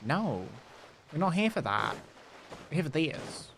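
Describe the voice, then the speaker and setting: A man livestreaming a game, excitable, casually commenting and reading dialogue aloud, with exclamations. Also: a little high, background noise. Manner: excitable, reacts with gasps and drawn-out exclamations. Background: game music.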